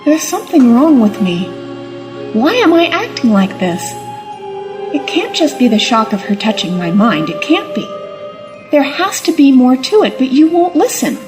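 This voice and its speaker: A woman acts a troubled young female companion in an audio drama. Her voice is high and expressive, earnest but hesitant and full of doubt. Music runs underneath. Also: normal speed. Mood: frightened, troubled.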